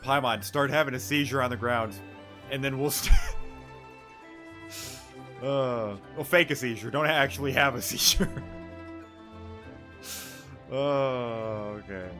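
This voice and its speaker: A man's voice: medium pitch, slow, pauses often, sharp tone, soft background music. A man streaming a game, giving informal, joking let's play commentary. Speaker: gaming streamer.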